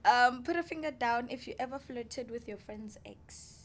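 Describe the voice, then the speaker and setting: A woman's voice: a little high, normal speed, fairly bright tone. A young woman co-hosting a student podcast, asking questions in a playful, teasing way and laughing often. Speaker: podcast co-host. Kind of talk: casual interview chat.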